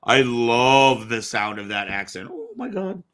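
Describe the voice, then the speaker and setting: Guy hosting a podcast, super animated, bright voice, slangy and excitable. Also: mid-range voice, measured pace. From United States, born in Vietnam. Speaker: talk show host. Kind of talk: casual chat.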